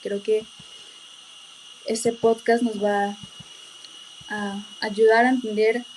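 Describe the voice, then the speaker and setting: A woman from Colombia, a worship minister speaking as a podcast guest in a measured, explanatory way. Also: mid-range voice, little pitch movement, darkish tone, slight background noise, rough recording. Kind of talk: church discussion.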